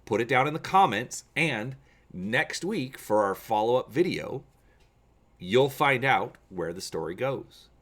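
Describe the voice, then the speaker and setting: A man reading an adventure gamebook aloud as a channel host, with measured, theatrical, deliberate phrasing. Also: mid-range voice, expressive, fairly bright tone. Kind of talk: dramatic audiobook-style reading of an adventure gamebook.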